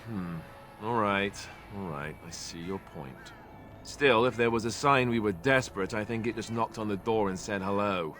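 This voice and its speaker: A man's voice: lowish voice, normal speed, a bit bright, natural voice. A man playing an awkward, earnest young warrior in a video game, hesitant, self-deprecating and prone to babbling. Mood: wry disbelief.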